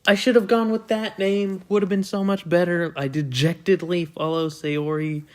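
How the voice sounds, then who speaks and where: A man's voice: high-pitched, fairly even intonation, moderate pace, darkish tone. A young man reading a visual novel aloud for a gaming video, with crude, irreverent asides. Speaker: let's play narrator.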